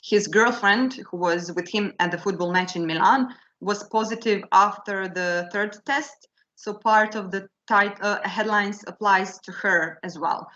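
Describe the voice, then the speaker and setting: A woman giving an academic conference talk, in the language, speaking haltingly with many fillers and restarts. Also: mid-range voice, fairly bright tone, lo-fi sound. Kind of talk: academic presentation. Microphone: video call.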